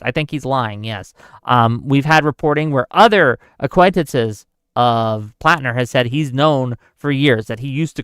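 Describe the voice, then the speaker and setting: A man in his forties with a smooth, clear voice that sits a little high for a man. He chats casually on a livestream, thinking aloud and answering his viewers' questions. The sound is close and dry, with no room echo. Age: 40s. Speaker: livestream host. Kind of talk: casual political chat with live chat.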